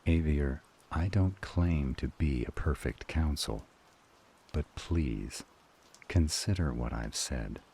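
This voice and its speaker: A man playing a formal, measured supernatural counsel, cautious and grave, in an audio roleplay drama. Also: rumbling low, vocal fry, warm tone, breathy edge, exaggerated voice. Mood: earnest caution.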